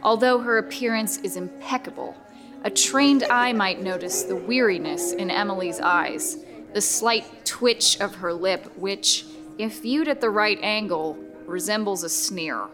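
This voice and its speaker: A woman narrates a horror radio story in a measured, suspenseful voice at middle pitch. Music plays clearly behind her. She holds an even, steady pace.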